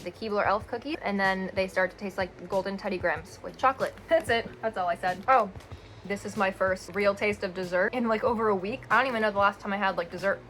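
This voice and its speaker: Give me a young American woman chatting to camera in a casual vlog, thinking out loud, with faint music behind her. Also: mid-range voice, fast talker. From United States. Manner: rambling, lots of "like" and restarts. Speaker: vlogger. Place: indoors, a rented home room.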